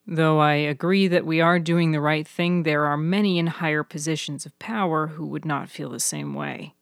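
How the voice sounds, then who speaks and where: A woman voicing an awkward, dryly witty male friend in an audio story, measured and a little wordy. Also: deep voice, creaky, no room sound, clean studio sound. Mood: concerned.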